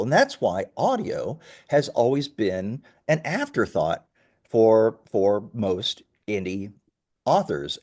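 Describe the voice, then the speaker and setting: A man's voice: lowish voice, darkish tone. A man speaking as a company founder and interview guest, explaining his business earnestly in a conversational way, point by point.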